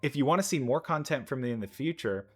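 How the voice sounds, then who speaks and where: A man's voice: medium pitch, lively intonation, fast, fairly bright tone, husky. A man giving casual reaction commentary as a video creator watching game trailers.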